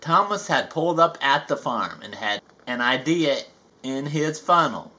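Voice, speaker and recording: A man's voice: medium pitch, expressive, measured pace, sharp tone. A man reading a children's storybook aloud, folksy and playful, with chatty asides. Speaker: storybook reader.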